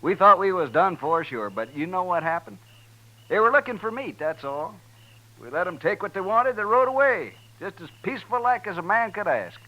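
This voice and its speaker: An American actor playing a talkative, hospitable buffalo hunter in a 1950s radio western, with folksy, drawling rustic speech. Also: male voice, sits high, very animated, normal speed, sharp tone, heavily acted. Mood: folksy, chatty. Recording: dull, band-limited 1950s radio recording.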